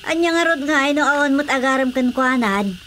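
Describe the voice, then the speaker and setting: A woman's voice: sits high, lively intonation, a little fast, a bit bright, slight background noise. An actress with an Ilocano accent playing a nosy, outspoken village woman in a radio comedy drama. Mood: gossiping.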